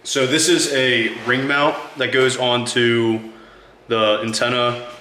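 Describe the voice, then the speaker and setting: A man hosting a casual how-to vlog, showing off parts one at a time in a relaxed way. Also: mid-range voice, slow, roomy sound, slight background noise, low-quality recording. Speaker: channel host. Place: garage.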